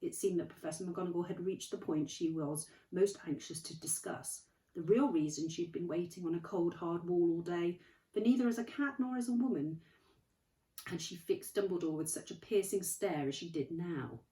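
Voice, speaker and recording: A woman, a primary school teacher, reading a children's novel aloud in a clear, measured way. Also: on the low side, dark tone. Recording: echoey room, distant mic.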